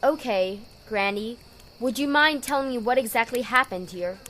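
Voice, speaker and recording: Woman doing calm polite officer asking questions, businesslike, bit high, crickets in background. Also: moderate pace, lightly acted. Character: composed, polite female officer taking statements. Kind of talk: audio drama acting. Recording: clear background noise, crickets.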